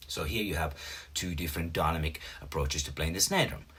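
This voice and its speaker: A man's voice: a bass voice, fairly quick, over loud music. A man in his thirties with a Finnish accent, patiently explaining drum notation step by step as a teacher, with small slips in his English. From Finland. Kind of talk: instructional explanation.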